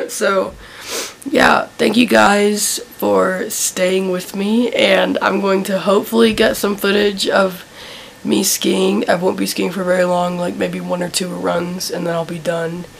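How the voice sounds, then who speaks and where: A teenage girl vlogging to a handheld camera, sick with a sore throat and cough, talking softly and tiredly with many fillers. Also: medium pitch, level tone, slow pace, gravelly, slight background noise. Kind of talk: casual vlog to camera. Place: indoors in a vacation rental living room. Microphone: handheld camera at arm's length.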